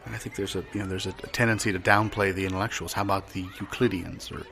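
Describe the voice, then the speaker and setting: A man's voice: low-pitched, fairly even intonation, quick pace, gravelly, pushed and bright. A man improvising casual comedy banter in an agreeable, tentative way, often trailing off.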